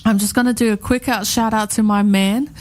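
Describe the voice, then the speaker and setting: A woman's voice: mid-range voice, steady tone, fairly quick. A woman in her thirties co-hosting a radio chat show, talking in a rambling, heartfelt way and repeating herself often. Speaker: radio co-host.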